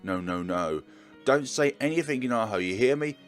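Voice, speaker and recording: A man's voice: mid-range voice, expressive, normal speed, soft background music, lightly acted. Man playing an urgent, pleading young policeman. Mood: distressed.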